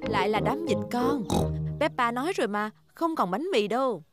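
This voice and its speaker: Cartoon mother pig, female actor: encouraging, high, very animated, music underneath. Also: moderate pace, lightly acted. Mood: gentle. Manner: expressive, encouraging. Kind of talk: animated children's show dub. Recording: music behind the voice.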